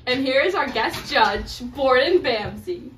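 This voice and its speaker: A woman's voice: high-pitched, lively intonation, measured pace, reverberant, far from the mic, a little hiss or hum, low-quality recording. A teenage girl playing the host and judge of a mock baking show in a student comedy sketch. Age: teens.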